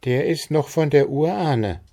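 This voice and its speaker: Give me a man putting on an old widow in a storybook, confiding and hushed, higher than his own voice. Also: expressive, a little slow. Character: old widowed village mother, secretive.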